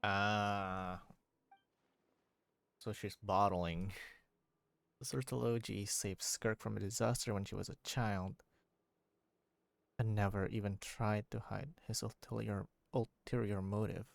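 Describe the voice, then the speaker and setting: Creaky low-voiced male game streamer. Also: steady tone, takes it slowly, long pauses, no room sound. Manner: musing, thinking aloud. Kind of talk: casual live-stream commentary.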